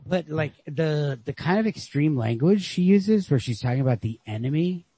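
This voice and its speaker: Man, podcast host, husky, dry close sound, casual literary chat, profane, musing. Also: on the high side, expressive, normal speed, mellow, no echo. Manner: profane, musing, thinking aloud. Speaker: podcast host discussing a short story. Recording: lo-fi podcast recording.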